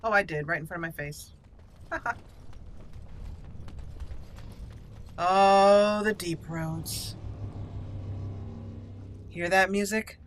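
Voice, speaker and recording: A woman's voice: lowish voice, measured pace, long pauses, a bit bright, a little hiss or hum. A woman doing roleplay let's-play commentary, playful and theatrical in the way she talks to viewers. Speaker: gaming channel host.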